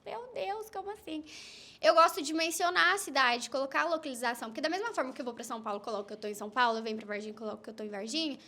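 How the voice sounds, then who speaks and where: Young woman, high bright voice, chatty, animated. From Brazil. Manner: chatty, animated storytelling with quick asides. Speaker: influencer guest. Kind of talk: casual podcast conversation.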